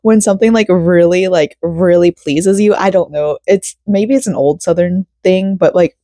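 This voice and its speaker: An American woman in her thirties chats in a rambling, personal vlog style. Her voice is low, soft-edged and mellow, with a hoarse rasp. The sound is dry, with no room echo. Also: normal speed. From Florida, United States. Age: 30s. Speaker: vlogger.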